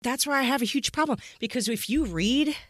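A woman's voice: high-pitched, a little fast, a bit bright, no echo, clean studio sound. A woman co-hosting a podcast discussion, speaking in an emphatic, blunt, casually sweary and opinionated way. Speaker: podcast co-host.

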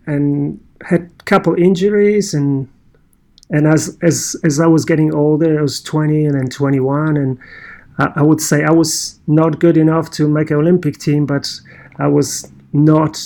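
Polish-accented man, halting and chatty. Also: a little high, mellow. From Poland. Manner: halting, many restarts and fillers. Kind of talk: casual conversational storytelling.

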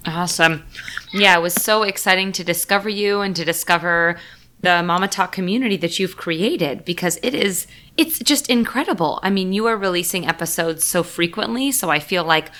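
A woman's voice: lowish voice, normal speed, sharp tone. A woman hosting a podcast interview in a casual, chatty way, effusive and informal in how she talks. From United States. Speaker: podcast host.

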